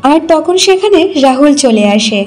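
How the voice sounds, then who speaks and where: A woman's voice: high-pitched, expressive, moderate pace, music in the background. A woman narrating a scripted cartoon folk tale as the storyteller.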